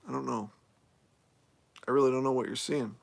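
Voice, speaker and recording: Gravelly man rambling casually on a live stream. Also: medium pitch, steady tone, normal speed. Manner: rambling, easygoing, many fillers and restarts. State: voice getting a little hoarse. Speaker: nomad RV YouTuber answering live chat. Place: RV camp in the desert, outdoors.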